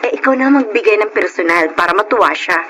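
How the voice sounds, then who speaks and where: An actress playing a bubbly, dutiful younger sister in a Filipino radio drama. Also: female voice, mid-range voice, expressive, fast talker, a bit bright, slight background noise, close to an everyday voice. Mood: excited.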